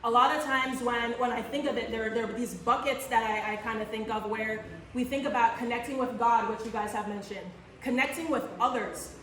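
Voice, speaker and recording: A woman's voice: fairly high pitch, fairly quick, few pauses, a bit bright. A woman in her thirties, from Barbados, giving an earnest talk to a church audience and asking them questions. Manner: earnest, restarts phrases, asks the audience questions. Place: church in the Caribbean. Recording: echoey church room from far off, faint noise.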